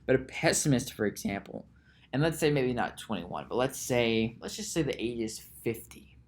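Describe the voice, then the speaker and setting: Slow, halting man musing aloud. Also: expressive. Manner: musing, slow and halting, with frequent restarts and repeated words; reads search results aloud in a low mutter. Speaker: podcast host. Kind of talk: solo podcast monologue.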